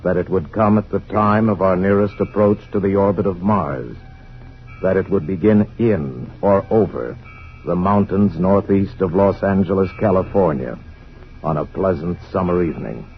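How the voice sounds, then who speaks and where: Over music and through a dull vintage radio sound comes a low-voiced, solemn narrator. He tells a science fiction tale in measured, portentous phrases, grave and all-knowing. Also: gravelly. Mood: grave, ominous. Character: solemn omniscient male narrator of a Martian invasion tale. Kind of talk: scripted radio drama narration. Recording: dull old radio broadcast recording. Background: dramatic music.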